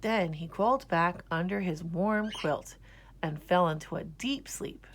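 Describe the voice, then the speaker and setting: A woman reading a children's storybook aloud in an expressive narrating style. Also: on the low side, unhurried, a little hiss or hum. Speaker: storybook reader.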